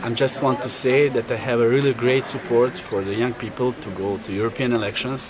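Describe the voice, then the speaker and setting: A man's voice: mid-range voice, fairly even intonation, fast, background noise. A man making a short, direct appeal to listeners in the street.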